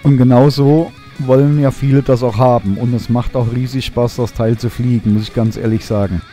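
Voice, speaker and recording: Young guy doing a drone review voice-over, warm mellow tone, lots of ums, faint guitar behind. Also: medium pitch, normal speed. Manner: relaxed, enthusiastic, many fillers.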